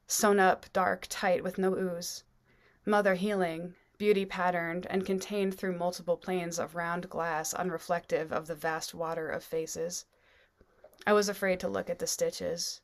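A woman around her thirties reads poetry in a measured, deliberate way over a video call. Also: lowish voice. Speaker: poet. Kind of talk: poetry reading. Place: small room at home, on a video call.

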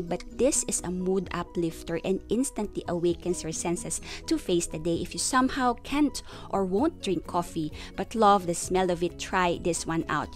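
Reviewer, female, young adult, from the Philippines: eager, descriptive delivery over faint background music. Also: mid-range voice. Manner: enthusiastic, descriptive, stretches words for emphasis. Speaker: perfume reviewer. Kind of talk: friendly scripted product review.

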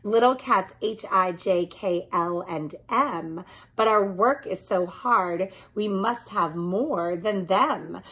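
A woman's voice: medium pitch, takes it slowly, a bit bright. A woman voicing small, plucky storybook cats who are eager and emphatic helpers. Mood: earnest. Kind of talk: storybook character reading.

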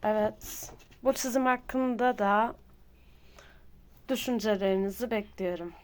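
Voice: medium pitch, unhurried, clean tone. A person hosting a casual drawing tutorial, talking through each step in short phrases. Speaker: host of a drawing channel.

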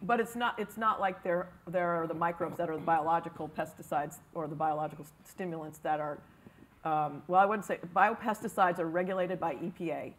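A woman speaking on a conference panel, explaining in a halting way with frequent restarts. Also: fairly low pitch, slight background noise. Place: conference room.

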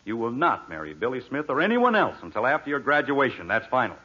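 A middle-aged sitcom father, wry and exasperated, with a forceful, very animated delivery. It is 1940s radio comedy on a dull vintage recording. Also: mid-range voice, fast talker, slightly rough, exaggerated voice. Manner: sarcastic, authoritative.

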